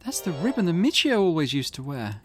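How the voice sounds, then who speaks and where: A man voicing an eager, excitable teenage schoolgirl in a visual novel. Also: fairly high pitch, a little fast, faint music behind, pitched above the performer's own voice. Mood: urgent.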